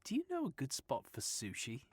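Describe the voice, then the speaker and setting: A woman's voice: rumbling low, huge pitch swings, moderate pace, darkish tone, breathy edge, dry recording, voice pushed up, exaggerated voice. A male narrator voicing a friendly, playful young woman with an Australian accent, in an audiobook. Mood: cheerful. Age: young adult.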